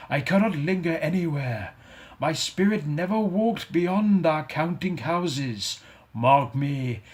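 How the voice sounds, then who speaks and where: A man voicing the mournful ghost of a dead businessman who drags a chain and laments in a solemn, drawn-out wail. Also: a little high, voice pushed up.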